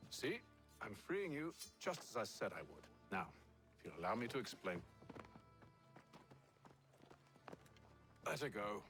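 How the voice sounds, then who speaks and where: A man playing a composed, calculating English gentleman leader in a game cutscene, speaking in measured, clipped, coolly confident phrases. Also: fairly low pitch, big pitch swings, soft background music. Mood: cool, commanding. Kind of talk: video game cutscene acting.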